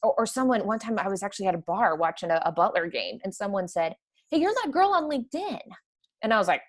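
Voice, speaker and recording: A woman with a Midwestern American accent, chatting energetically as a podcast guest. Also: mid-range voice. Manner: energetic, chatty, quick to laugh. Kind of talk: casual conversation.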